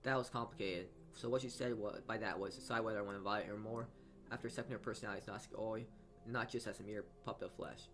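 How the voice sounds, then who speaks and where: A man's voice: fairly low pitch, fairly even intonation. A man reading a visual novel aloud as a let's-player, stumbling over lines and adding his own asides. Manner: halting reading with frequent stumbles. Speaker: video game let's-player.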